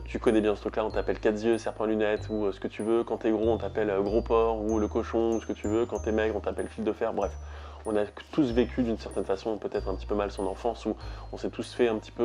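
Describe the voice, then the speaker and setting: A man's voice: lowish voice, a little mellow. A young man with a French accent talking casually to viewers as a YouTube host, rambling with frequent hesitations. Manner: chatty, rambling with frequent "euh". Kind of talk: casual vlog talk to viewers.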